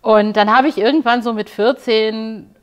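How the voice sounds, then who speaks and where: A woman in her forties chatting casually as an interview guest, telling stories in an animated way and acting out dialogue. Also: on the high side, moderate pace. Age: 40s. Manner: animated storyteller, acts out quoted dialogue. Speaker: interview guest, podcast presenter and radio journalist. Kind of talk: casual anecdotal chat. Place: small studio set, indoors.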